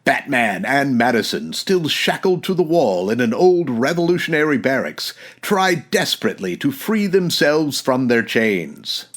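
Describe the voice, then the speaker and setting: A man's voice: mid-range voice, big pitch swings, measured pace, few pauses. A man narrating an old-time radio serial in a dramatic, scripted announcer style.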